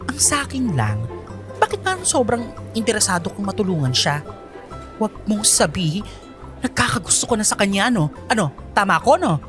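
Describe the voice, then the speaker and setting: A woman's voice: mid-range voice, sing-song, moderate pace, music in the background, close to an everyday voice. A young woman with a Filipino accent acting a nosy, teasing, complaining college best friend in a radio drama, full of exclamations. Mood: teasing, suspicious.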